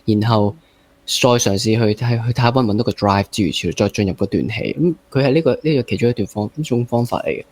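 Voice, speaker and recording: A man with a Hong Kong Cantonese accent hosting a casual interview, repeating phrases haltingly as he forms his questions. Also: lowish voice, a little mellow. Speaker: interview host.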